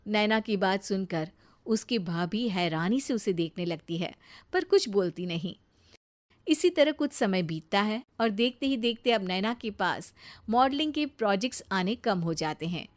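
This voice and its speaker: A woman narrating a scripted animated family story as its storyteller. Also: mid-range voice, measured pace.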